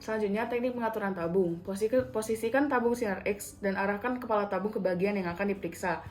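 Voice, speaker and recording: Young woman calmly listing steps, echoey room. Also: mid-range voice, fast, faint music behind. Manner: methodical, enumerating numbered steps; polite, addresses patient in role-play. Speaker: dental radiography student. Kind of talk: instructional skills demonstration.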